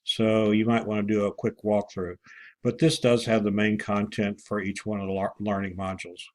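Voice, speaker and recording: Low-voiced man, halting online instructor. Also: level tone, a little mellow. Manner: halting, with many pauses and throat clearing. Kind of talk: informal screen-share walkthrough for students.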